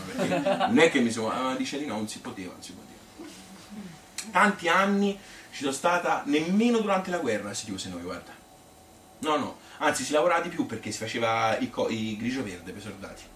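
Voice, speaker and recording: A man with a Tuscan accent playing a nostalgic, cheerful old grandmother in a chatty, halting stage monologue. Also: on the low side, a bit bright, roomy sound, far from the mic, a little hiss or hum. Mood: amused, animated, then fond, chatty. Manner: colloquial, halting, repeating phrases, chatty. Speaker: solo stage storyteller. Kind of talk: theatrical monologue as spontaneous spoken reminiscence.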